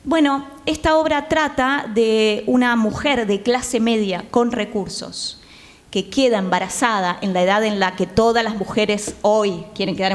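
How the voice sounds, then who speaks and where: Hesitant Argentine woman in an echoing hall. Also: mid-range voice. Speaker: playwright, panel guest. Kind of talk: conversational panel discussion. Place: theatre, live panel. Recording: reverberant theatre hall.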